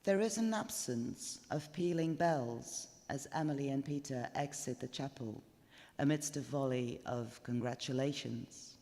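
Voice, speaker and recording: A man reading a literary ghost story live as narrator, in a measured, deliberate and dramatic manner. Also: mid-range voice, mellow. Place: indoors, stone chapel. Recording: reverberant chapel live recording.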